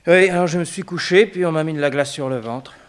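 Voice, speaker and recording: A man's voice: on the high side, fast talker, clear, resonant tone, natural voice. An actor playing a cheeky, curious young nephew who prods his uncle in familiar speech, in a 1960s French radio drama. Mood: complaining.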